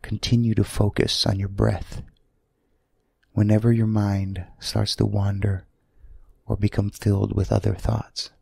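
A man leads a sleep meditation in a gravelly, soothing voice. He speaks very slowly, in short, gentle phrases separated by long pauses. The sound is close and dry. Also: fairly low pitch, flat delivery, no echo. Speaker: guided meditation leader.

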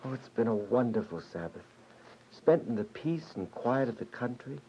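A woman's voice: extremely low pitch, lively intonation, normal speed, mellow. A woman playing an earnest, eager younger brother in a radio drama. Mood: contented. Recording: muffled, noisy radio drama recording.

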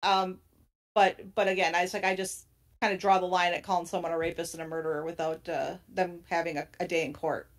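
A woman's voice: on the low side, flat delivery, fairly quick. A woman speaking earnestly as a panelist in a casual online discussion, emphatic and chuckling between points.

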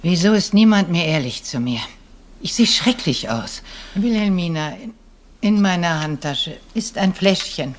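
An actress playing a weary, imperious old rich aunt in a retro radio play, sharp and commanding. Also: female voice, lowish voice, animated, a little slow. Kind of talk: retro German detective radio play acting.

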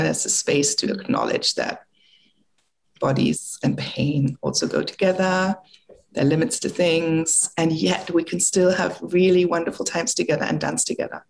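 German-accented older woman with gravelly voice. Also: sits low, a little hiss or hum, rough recording. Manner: reflective, thinking aloud with frequent restarts and fillers. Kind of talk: conversational talk. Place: home room, video call. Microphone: video call.

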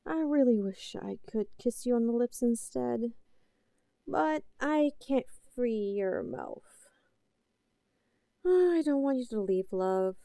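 An obsessive, possessive yandere woman, acted by a woman for an intimate audio drama. Her voice is fairly high and mellow, recorded dry and close. She talks in slow, halting pleas, lovesick and unhinged. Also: expressive, no echo. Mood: soft, possessive. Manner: halting, pleading, swinging between soft cooing and outbursts. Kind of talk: scripted audio roleplay, intimate and melodramatic.

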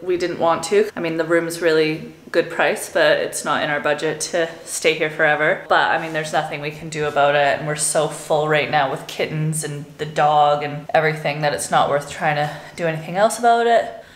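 A woman's voice: deep voice, steady tone, moderate pace, hardly any pauses. A young woman vlogging to a selfie camera at arm's length, chatty and joking as she narrates her day. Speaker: sailing vlogger. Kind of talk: casual vlog chat.

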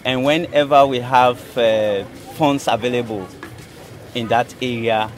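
A man giving a halting interview answer as a church official. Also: medium pitch, fairly even intonation. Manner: halting, phrase by phrase. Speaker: church administrative clerk being interviewed. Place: outdoor yard with people around. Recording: outdoor interview with crowd chatter.

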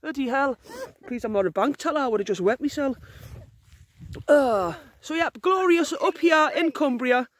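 Gruff-voiced woman outdoors. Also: high-pitched, expressive, normal speed. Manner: chatty, teasing, laughs often. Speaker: outdoor vlogger. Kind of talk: casual handheld camping vlog. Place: open moorland outdoors. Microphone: phone camera held by the speaker.

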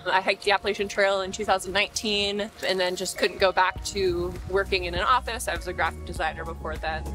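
A young woman from Pennsylvania working as a park ranger, explaining the area to visitors outdoors in a friendly way and asking them questions. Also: medium pitch. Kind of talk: guided ranger talk to visitors. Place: outdoors at a mountain meadow.

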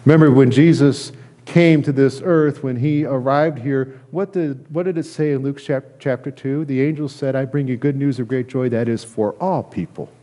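A middle-aged man, a pastor, preaching a conversational sermon in an earnest, halting way with repeated phrases. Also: medium pitch, warm tone. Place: church sanctuary, at a lectern indoors.

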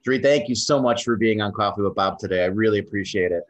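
A middle-aged man hosting an interview over a video call from a room full of bookshelves, talking in a friendly, slow way with many fillers. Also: lowish voice. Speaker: host. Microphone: webcam on video call. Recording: dull lo-fi video call audio.

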